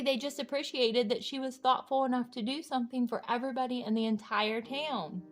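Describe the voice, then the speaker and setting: A woman reading a children's storybook aloud in an encouraging way, pausing to ask young listeners simple questions. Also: high voice. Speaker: children's book read-aloud host.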